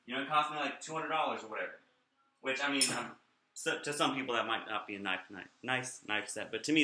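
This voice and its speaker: A man's voice: on the high side, bright, forceful tone, echoey room. A young man vlogging in a casual, rambling way, sounding nasal and slurring his words a little. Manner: rambling, off-topic, chatty.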